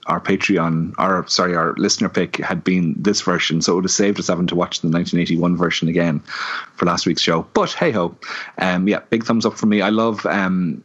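Man, very deep voice, muffled podcast audio, hesitant casual film chat. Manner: relaxed, many ums and hesitations. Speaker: podcast co-host. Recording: dull lo-fi audio.

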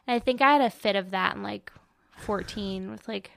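A woman co-hosting a book podcast, chatting casually in loose, halting asides peppered with filler words. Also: mid-range voice, vocal fry, a bit bright. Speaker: co-host of a book discussion podcast. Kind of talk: casual, conversational chat.

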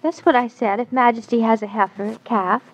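A high-voiced young wife, earnest and innocently curious in a 1940s radio play. The sound is dull and old, like a vintage broadcast. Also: female voice, fairly even intonation, brisk.

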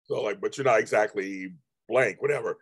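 Hoarse, rambling man chatting live. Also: lowish voice, fairly quick. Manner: rambling, repeats himself, many restarts. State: hoarse, voice going out. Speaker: livestream host. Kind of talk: casual live-stream chat.

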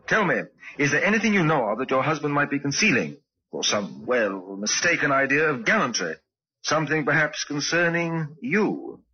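An actor playing a shrewd, polite, unflappable police inspector in an old-time radio drama, measured and formal. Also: male voice, a little high, big pitch swings, bright, forceful tone, husky, exaggerated voice. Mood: probing, courteous. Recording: dull old radio recording.

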